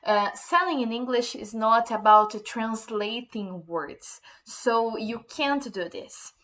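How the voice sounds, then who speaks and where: A woman's voice: fairly high pitch, lively intonation, slow, fairly bright tone. Woman teaching English. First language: Portuguese. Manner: hesitant, many fillers and restarts. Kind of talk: informal English lesson.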